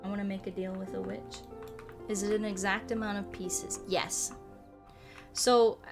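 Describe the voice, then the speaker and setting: Female game streamer, mid pitch, casual and rambling, playfully cutesy turns of phrase, music behind. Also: flat delivery. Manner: rambling, halting explanations with frequent self-corrections. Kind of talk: casual chat while playing a game.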